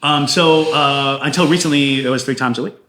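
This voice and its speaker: A man talking casually as an interview guest, halting as he thinks aloud. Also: medium pitch, bright, forceful tone. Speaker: guest playwright and podcaster.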